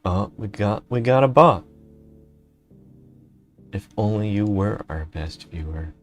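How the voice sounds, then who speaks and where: Low-voiced man chatting on a stream. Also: animated, faint music behind. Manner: laid-back, laughing often, reads aloud haltingly. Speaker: streamer co-host. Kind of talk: casual stream chat, reading chat and articles aloud.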